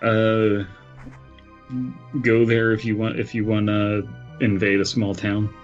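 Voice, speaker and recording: A man on a casual comedy podcast, making deadpan jokes in slightly muffled audio. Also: on the low side, slow, soft background music. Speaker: podcast host. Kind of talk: casual comic podcast chat.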